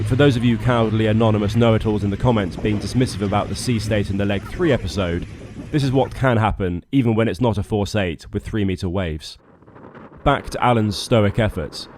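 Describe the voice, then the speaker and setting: Low-voiced man, wry scripted narration. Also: background noise. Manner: wry, dry understated humour. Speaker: video narrator, boat skipper. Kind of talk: scripted travel vlog narration.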